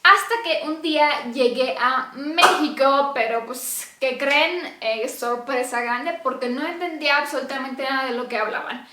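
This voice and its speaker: A woman's voice: high voice, unhurried, pushed and bright. A young woman from Romania, chatting casually to camera in Spanish and laughing often. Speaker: vlogger. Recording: echoey room.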